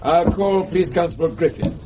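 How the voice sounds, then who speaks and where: An actor playing a formal, hesitant prosecuting barrister in a radio courtroom drama. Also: male voice, fairly high pitch, fairly even intonation, moderate pace, close to an everyday voice. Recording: dull, very noisy archive radio recording.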